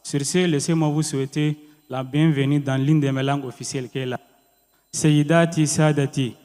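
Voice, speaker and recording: A young man delivers a proud, lively welcome in a slightly high voice. The sound carries the long reverb of a large ceremony hall. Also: moderate pace. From Chad. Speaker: student speaker. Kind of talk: formal ceremonial welcome speech.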